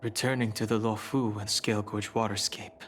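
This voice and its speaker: Low-voiced, brooding, solemn exile played by a man. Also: little pitch movement, fairly bright tone, a little hiss or hum, natural voice. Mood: wistful. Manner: measured, formal, brooding. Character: solemn, reserved exiled man burdened by past. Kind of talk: English video game voice acting.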